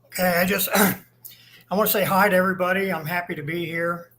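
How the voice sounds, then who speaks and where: A man in his sixties from Ohio tells his story in a folksy, plainspoken way. His voice sits high for a man, and he talks in halting bits over a video call. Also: steady tone, a bit bright. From Ohio, USA. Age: 60s. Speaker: guest.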